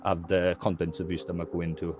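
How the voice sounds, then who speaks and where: A man's voice: low-pitched, little pitch movement, fast talker, music in the background. A man from Wales with a Welsh accent, a guest talking candidly in casual conversation, rambling and often asking "do you know what I mean".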